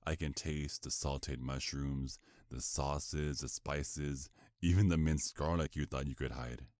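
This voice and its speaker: A man playing a sinister, condescending vampire mafia boss in an ASMR roleplay, speaking in a formal, menacing, drawn-out way. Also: a bass voice, steady tone, a little mellow, no echo, clean studio sound. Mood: smug, explanatory.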